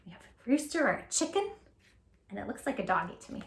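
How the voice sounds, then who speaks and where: Animated woman reading a picture book aloud. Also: a little high, quick pace, echoey room. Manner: animated, sing-song, talks to children with questions. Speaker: children's book reader. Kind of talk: read-aloud with tips for parents. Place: home room with posters.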